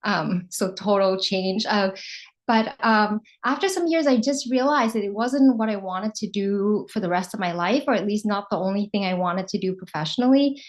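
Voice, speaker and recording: A woman's voice: medium pitch, expressive, moderate pace. A woman in her forties from Thailand, whose first language is Thai, speaking English on a panel as a translator in a friendly, direct manner. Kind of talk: panel talk.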